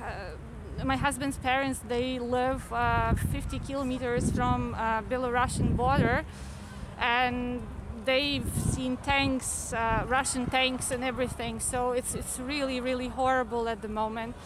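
Woman, high flat voice, hesitant, street interview, noisy traffic background. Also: a little slow. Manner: hesitant, repeats words. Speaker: interviewed protester. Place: outdoors in a city. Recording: noisy outdoor urban street.

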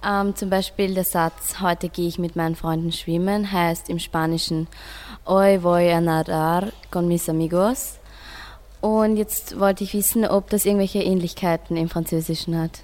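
A woman's voice: lowish voice, steady tone, moderate pace, a little mellow. A teenage girl, a school student, informally explaining things to a friend in a hesitant, searching way. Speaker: school student in a radio project.

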